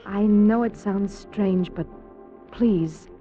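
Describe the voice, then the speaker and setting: A woman's voice: medium pitch, slow, warm tone, lightly acted. Woman acting an anxious young woman, old radio sound. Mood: urgent, pleading. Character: pretty young woman, anxious spy's companion. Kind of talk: 1950s radio drama acting. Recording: muffled 1950s radio recording, faint music.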